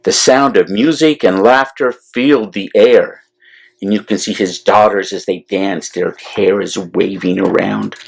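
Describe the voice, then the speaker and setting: A man reading a picture book aloud to children as a storyteller, deliberate and expressive, with explanatory asides. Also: fairly low pitch, vocal fry, low-quality recording. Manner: deliberate, animated, explanatory asides to young listeners.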